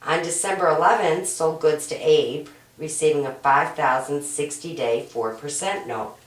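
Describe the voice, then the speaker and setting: A woman with a low, hoarse, raspy voice from a cold walks through homework problems one step at a time. She is patient and keeps checking in with "right?". The recording sounds roomy and distant, as if picked up from across the room. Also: moderate pace. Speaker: accounting instructor. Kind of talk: homework walkthrough lesson. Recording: roomy echo, mic at a distance.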